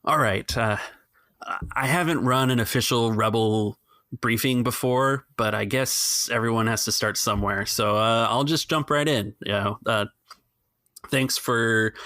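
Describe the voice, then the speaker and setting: A man's voice: mid-range voice, a little slow, bright, forceful tone, dry recording, studio quality. A man narrating a tabletop game as game master, talking in a hesitant, rambling way full of fillers.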